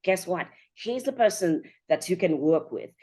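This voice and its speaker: A woman with a fairly low voice hosts a podcast interview show. She is enthusiastic and chatty, talking directly to her audience in an upbeat presenting style. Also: lively intonation, moderate pace, mellow. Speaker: show host and conference organiser.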